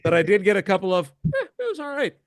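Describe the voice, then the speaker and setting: A man's voice: high-pitched, wildly swooping pitch, quick pace, no room sound, studio quality. A man co-hosting a casual chat show, talking in a playful, bantering way. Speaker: podcast co-host.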